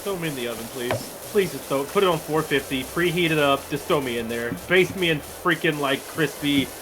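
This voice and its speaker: A man's voice: on the high side, a little fast, music in the background, low-quality recording. A man bantering casually as a game streamer, dramatically exasperated and sweary.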